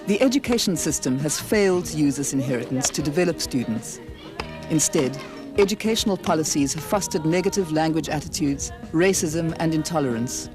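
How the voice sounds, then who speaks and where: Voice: medium pitch, a little fast. Formal documentary narrator over music. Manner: formal, even delivery. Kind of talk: scripted narration.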